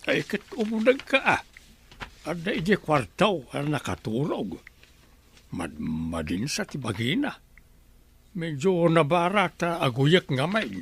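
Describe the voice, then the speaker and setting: An actor playing an older, reproachful husband in a radio drama, with an Ilocano Filipino accent. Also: male voice, fairly high pitch, huge pitch swings, unhurried, a little hiss or hum, full character voice. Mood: concerned. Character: older husband scolding his heartless wife, protective of child.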